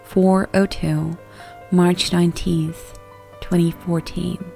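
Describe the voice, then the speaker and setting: A woman with a fairly low voice narrates a creepypasta from a script. Her reading is measured and deliberate. Faint music plays behind her. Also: flat delivery, vocal fry, warm tone. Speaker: horror story narrator.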